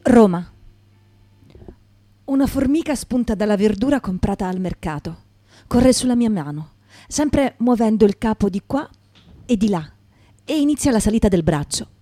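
A woman's voice: mid-range voice, expressive, moderate pace, long pauses, mellow, no room sound. A woman performer giving a dramatic reading in a piece of radio theatre. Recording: guitar music behind the voice.

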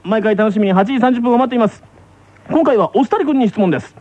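A man's voice: sits high, fast talker, a little mellow, dry recording. A man hosting a radio show with playful, teasing chat and scripted intros, in Japanese with a slight Kansai touch. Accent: Japanese with some Kansai influence. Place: radio studio. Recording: dull radio broadcast with faint music. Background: faint music.

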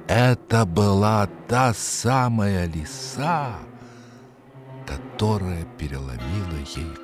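A man narrating a fairy tale expressively, in a measured, dramatic way that savours the words. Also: fairly low pitch, expressive, music in the background. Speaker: storyteller, narrator.

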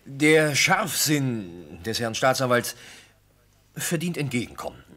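A man's voice: medium pitch, big pitch swings, slow pace, sharp tone, exaggerated voice. An actor playing a naive, boastful travelling salesman in a radio play, a man who talks eagerly and with self-importance. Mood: self-important, confessing. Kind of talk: German radio play acting.

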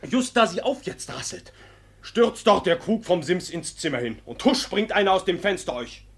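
A man's voice: high voice, sing-song, normal speed, exaggerated voice. An actor playing a hot-headed young peasant suitor in a 1950s German radio play, telling his tale indignantly and vehemently. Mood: excited. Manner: vehement, breathless storytelling, dropping to whispers. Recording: vintage 1950s radio recording.

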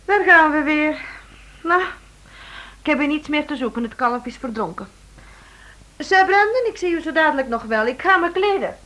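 An actress playing a protective, outspoken wife in a radio play, with a pert, affectionate but reproachful manner. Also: female voice, high voice, expressive, moderate pace, pauses often, fairly bright tone. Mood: resigned, annoyed. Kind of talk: Dutch-language radio play acting.

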